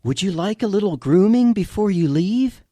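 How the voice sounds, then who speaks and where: A man's voice: high-pitched, lively intonation, normal speed, warm tone, no echo, soft background music, voice pushed up. A man voicing a courteous virtual assistant built into a truck. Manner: courteous, smooth, service-like.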